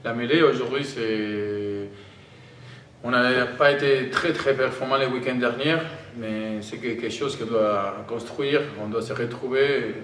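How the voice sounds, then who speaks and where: A man with an Argentine Spanish accent, speaking halting non-native French as a rugby player answering press questions. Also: mid-range voice, fairly bright tone. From Argentina (Tucumán, in the north). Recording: roomy, distant microphone, audible background noise.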